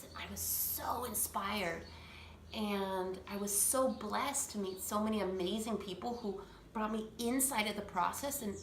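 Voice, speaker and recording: A woman's voice: medium pitch, fairly bright tone, a little hiss or hum. Earnest woman talking in an echoey room. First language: English. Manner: earnest, talks through restarts. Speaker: language coach. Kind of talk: personal vlog monologue. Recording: echoey room, faint ambience.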